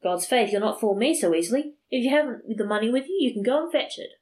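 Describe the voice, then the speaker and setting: A woman voicing a flirtatious, sharp-tongued peasant wife who bargains and scolds. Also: on the high side, expressive, fast, voice pushed up, lightly acted. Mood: teasing.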